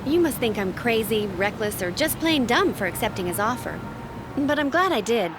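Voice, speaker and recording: A bold young woman with a high voice that swoops widely as she talks. She is a cocky, brisk ambulance driver from a racing game story, heard over loud vehicle noise. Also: sing-song. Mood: reflective, wry. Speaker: paramedic and ambulance driver turned racer. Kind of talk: scripted video game cutscene acting.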